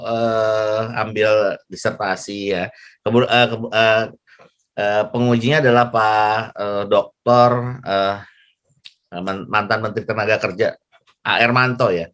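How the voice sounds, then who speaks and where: Low-pitched male lecturer, chatty and halting. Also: steady tone, fairly bright tone, clean tone. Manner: chatty, halting, repeats himself. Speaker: lecturer moderating an online class. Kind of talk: informal lecture and discussion.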